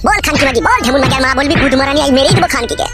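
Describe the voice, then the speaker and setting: A man plays a harried village husband from a comedy cartoon. He grumbles and curses in a coarse, foul-mouthed way, words tumbling out very fast. Loud music runs behind him. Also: extremely high pitch, lively intonation. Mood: enraged. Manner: cursing, grumbling.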